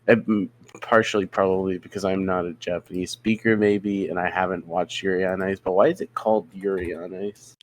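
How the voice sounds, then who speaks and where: A young man with a lowish voice co-hosts a casual podcast chat. He rambles through stories in a self-deprecating way and keeps repeating "yeah, yeah.". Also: fairly even intonation, fairly quick, hardly any pauses, a little hiss or hum. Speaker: podcast co-host.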